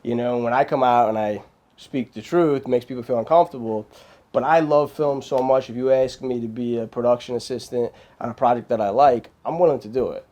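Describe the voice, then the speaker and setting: A man, a filmmaker guest in a casual interview, speaking in an animated, rambling way with frequent restarts. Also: mid-range voice, fairly quick.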